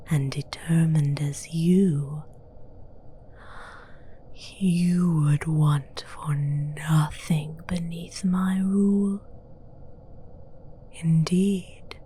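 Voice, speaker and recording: Slow, whispering demoness played by a woman. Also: on the low side, mellow, slight background noise. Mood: coaxing, disdainful. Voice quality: breathy, mostly whispered. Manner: slow, deliberate, condescending, formal. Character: seductive, haughty female demon villain taunting a hero. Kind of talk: whispered ASMR fantasy roleplay.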